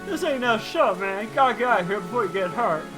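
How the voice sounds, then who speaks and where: Man doing a brash high-pitched street thug. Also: expressive, fast talker, music in the background, poor audio. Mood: threatening. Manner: slangy, threatening. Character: brash, cocky male street thug, rough and impatient.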